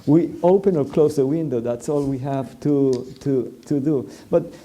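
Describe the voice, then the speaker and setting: A man from Venezuela, a native Spanish speaker, talks through a policy point at a public forum. His voice is very dark and mellow. He stumbles often, filling gaps with "uh" and repeating words before he finds the thought. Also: mid-range voice, measured pace. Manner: hesitant, many fillers and restarts. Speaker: panelist.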